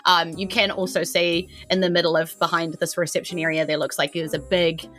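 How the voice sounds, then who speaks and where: A woman's voice: fairly low pitch, little pitch movement, fairly quick, fairly bright tone. A woman with a New Zealand accent, improvising casual, descriptive narration as game master in a tabletop game, feeling her way through the scene. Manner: informal, hesitant, descriptive. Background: background music.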